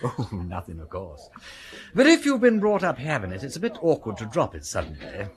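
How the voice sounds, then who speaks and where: An actor playing an affable, diffident aristocratic gentleman with an upper-class English accent, politely hesitant and understated, in a radio drama. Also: mid-range voice, huge pitch swings, brisk, husky, heavily acted. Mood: apologetic, wry. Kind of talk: radio comedy-drama acting.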